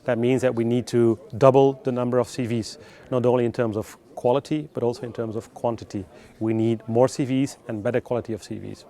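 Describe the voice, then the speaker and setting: Guy being interviewed as a recruiter, non-native english, explains stuff in a measured hesitant way, average pitch. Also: steady tone, warm tone. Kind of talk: interview answers, explanatory talk.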